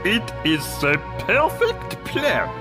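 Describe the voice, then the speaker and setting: A woman's voice: mid-range voice, wildly swooping pitch, slow pace, over loud music. A woman playing a smug, scheming alien child genius in a sci-fi audio drama.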